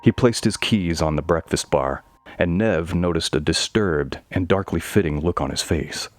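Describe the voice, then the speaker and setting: Deep-voiced man narrating a horror story. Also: normal speed, no echo, faint music behind.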